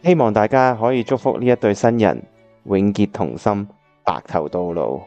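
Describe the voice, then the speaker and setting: A man's voice: fairly low pitch, normal speed, darkish tone, no echo, lo-fi sound, soft background music, natural voice. A man playing an earnest, reflective bachelor in a Cantonese radio drama, with a Hong Kong accent. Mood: heartfelt speech. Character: earnest, reflective bachelor looking back on old love.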